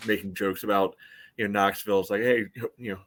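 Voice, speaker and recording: Lowish-voiced man chatting about soccer. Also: steady tone, quick pace, a bit bright. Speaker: sports podcast co-host. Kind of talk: casual soccer match breakdown.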